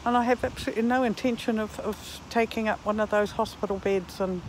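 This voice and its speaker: A woman in her sixties giving a reflective, halting monologue to herself and her viewers as she walks outdoors. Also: medium pitch, fairly even intonation, a little hiss or hum, poor audio. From Netherlands and New Zealand. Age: 60s. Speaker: vlogger. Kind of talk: reflective walking vlog monologue. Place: outdoors, walking in a park.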